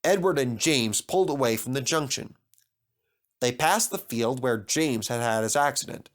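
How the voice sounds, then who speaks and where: A man narrates a children's story with very animated intonation. He reads from a script as a storyteller, his pitch moving widely through each sentence. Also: normal speed.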